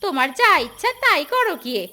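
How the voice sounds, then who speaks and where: A woman's voice: extremely high pitch, sing-song, fast, bright, forceful tone, exaggerated voice. A woman playing an exasperated village wife in a cartoon, nagging and reproachful. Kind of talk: Bengali fairy-tale cartoon dialogue. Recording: clear background noise, outdoor ambience.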